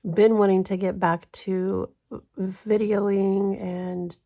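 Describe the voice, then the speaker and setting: A woman chats casually as host of her budgeting channel. Her voice is stuffy from a cold, with a gravelly, creaky edge and a mellow, dark tone. She rambles along with frequent ums. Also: medium pitch, flat delivery, slow pace, lo-fi sound. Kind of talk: casual vlog chat.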